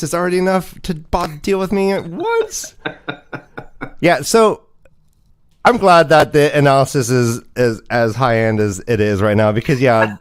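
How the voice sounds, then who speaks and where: Clear-voiced man hosting casual sports chat. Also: fairly high pitch. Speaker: host of a fantasy football livestream.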